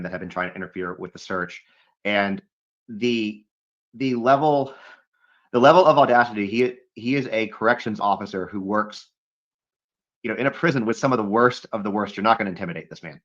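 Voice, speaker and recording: A man with a lowish voice asks questions at a rapid clip. He trips into restarts as he rushes ahead. The sound is a little dull and lo-fi. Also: fairly bright tone. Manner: quick, eager questioning with frequent restarts. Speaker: interviewer.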